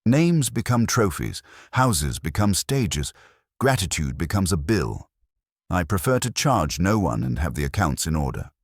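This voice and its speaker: A man's voice: low voice, big pitch swings, unhurried, husky, no room sound, clean studio sound, heavily acted. A man voicing a prim, imperious older English widow who speaks in precise, formal aphorisms for an audio mystery drama. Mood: precise, lofty.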